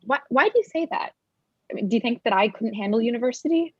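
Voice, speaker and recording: A woman playing a bookish, yearning young woman who is quick to get defensive, in staged dramatic dialogue. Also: fairly high pitch, expressive, slightly rough, no echo, muffled recording. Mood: hurt, defensive.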